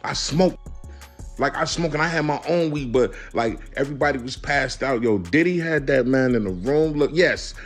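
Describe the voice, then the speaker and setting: A man's voice: medium pitch, lively intonation, normal speed, soft background music. A man recounting what he witnessed in casual street slang, blunt and repeating himself. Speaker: person giving an eyewitness account.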